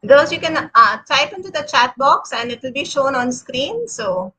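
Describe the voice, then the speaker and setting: A woman moderating an online class, briskly reminding students how to respond. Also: sits high, animated, bright, forceful tone, breathy edge, poor audio. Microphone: video call.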